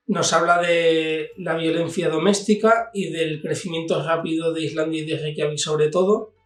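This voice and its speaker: A man with an accent from Spain, giving an informal book review as a channel host. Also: on the high side. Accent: Spanish from Spain. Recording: echoey room, distant mic, music behind.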